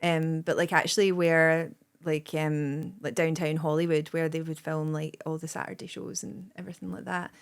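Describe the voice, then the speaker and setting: A woman's voice: low voice, little pitch movement, normal speed, gravelly, few pauses, smooth. A Scottish woman in her thirties, a guest comedian rambling in casual podcast chat with plenty of "like" and "um". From Scotland. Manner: rambling, lots of "like" and "um", bursts of laughter.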